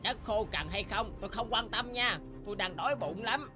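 A woman's voice: mid-range voice, moderate pace, close to an everyday voice. Woman voicing a brusque young bully rooster, music behind. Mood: dismissive. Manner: brusque, dismissive. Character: selfish, bad-tempered young male rooster, a bully. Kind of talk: children's cartoon fairy tale dubbing.

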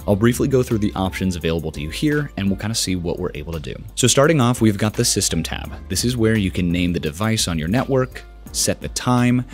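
A young American man with a fairly low voice narrates a step-by-step tech tutorial. His delivery is casual yet scripted, spoken close to the mic, with faint music behind him.

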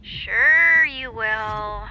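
A woman's voice: on the high side, extremely slow. A woman playing a playful, teasing twin sister with a breezy manner, heard through a telephone filter. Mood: playful, fond. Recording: dull, band-limited phone call.

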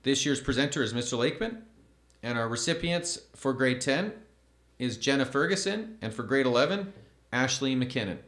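A man's voice: medium pitch, lively intonation, a bit bright, echoey room. Formal man announcing awards at a podium. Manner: measured, formal, reads names slowly. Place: school event stage at a podium. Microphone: podium microphone.

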